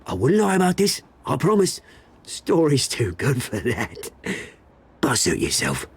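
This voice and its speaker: A gloating crime boss. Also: male voice, mid-range voice, huge pitch swings, a little slow, husky, heavily acted. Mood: mocking. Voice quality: sneering, theatrical, gloating. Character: smug scheming male crime boss, gloating. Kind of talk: video game voice acting.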